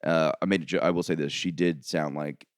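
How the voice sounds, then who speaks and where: A man's voice: fairly quick, clear, resonant tone, no room sound, studio quality. A man from Florida hosting a casual comedy podcast, telling stories and mimicking people. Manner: animated storytelling, jumps high to mimic people. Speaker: podcast host.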